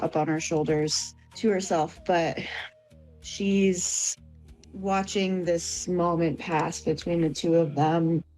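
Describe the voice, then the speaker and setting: A woman's voice: low voice, darkish tone, rough recording. A woman playing a tabletop game, casually describing how her character feels and moves.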